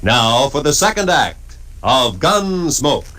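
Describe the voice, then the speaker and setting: A man's voice: mid-range voice, very animated, slow, over loud music. A man announcing on 1950s radio in a formal, emphatic style.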